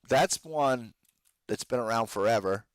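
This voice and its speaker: A man's voice: mid-range voice, a little slow, clear, resonant tone, dry recording. A man hosting a podcast with casual live stock market commentary, talking in informal slang, his voice strained and giving out. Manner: informal trader slang, addresses listeners as guys. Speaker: host of a trading podcast.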